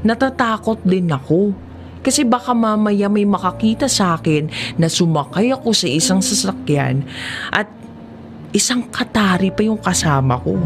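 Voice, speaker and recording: A woman with a Filipino accent narrating a first-person drama story in a conversational way, acting out the reactions as she goes. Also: medium pitch. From Philippines. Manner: conversational, acts out dialogue and inner reactions. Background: music behind the voice.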